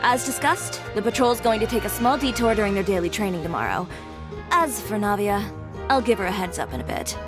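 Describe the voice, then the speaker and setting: A woman's voice: medium pitch, lively intonation, a little fast, music in the background, natural voice. A woman voicing a composed, self-assured female fashion designer who talks in a cool, dryly teasing way.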